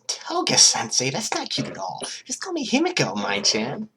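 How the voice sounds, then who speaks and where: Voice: mid-range voice, wildly swooping pitch, moderate pace, background noise, voice pushed up. A performer voicing a manic, bubbly, bloodthirsty teenage girl villain with a gushing, sing-song delivery in an amateur audiobook. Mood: playful, pouty.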